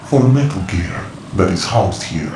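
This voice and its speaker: Low, echoing, cryptic ninja informant. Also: male voice, lively intonation, background noise. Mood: ominous, revealing. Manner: measured, clipped, knowing, instructive. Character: cryptic ninja informant, a grown man, cool and commanding. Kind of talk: video game cutscene acting. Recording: echoing voice with music behind.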